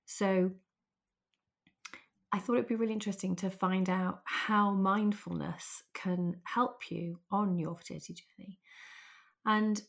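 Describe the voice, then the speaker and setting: Croaky-voiced woman hosting a podcast. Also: mid-range voice, measured pace, pauses often. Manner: chatty, lively, laughs easily. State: hoarse, recovering from lost voice. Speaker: podcast host. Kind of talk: casual podcast interview.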